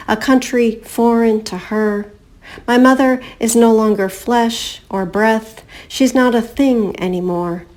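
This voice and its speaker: A woman poet reading her own poems in a measured, deliberate way. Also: a little high, a little mellow. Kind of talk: poetry reading.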